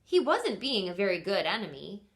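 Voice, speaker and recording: A woman reading a picture book aloud expressively as a teacher does for children, pausing to ask simple questions. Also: medium pitch, big pitch swings. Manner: pauses to quiz listeners with simple questions.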